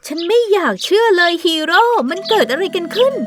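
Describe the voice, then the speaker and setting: Lady doing a gentle worried young princess, super high voice, kids fairy tale cartoon, bit of music. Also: animated, a little fast.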